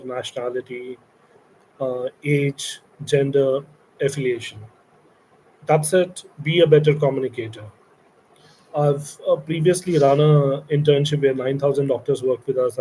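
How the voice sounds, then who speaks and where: A man's voice: mid-range voice, warm tone, slight background noise, low-quality recording. A middle-aged man from India with an Indian English accent, a company founder giving a meandering orientation talk to interns with frequent fillers.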